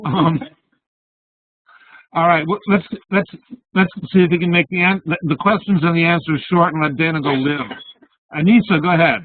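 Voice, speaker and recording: Guy running the webinar, kinda high voice, joking around, phone-line quality. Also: fairly even intonation, moderate pace, pauses often, no echo. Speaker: webinar host. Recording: tinny video call, a little distant.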